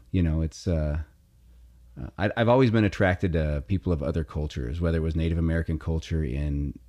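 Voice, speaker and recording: A man's voice: rumbling low, mellow. A man from Montana, a musician guest, talking in a rambling, hesitant way and laughing easily. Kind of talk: casual phone interview. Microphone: phone call.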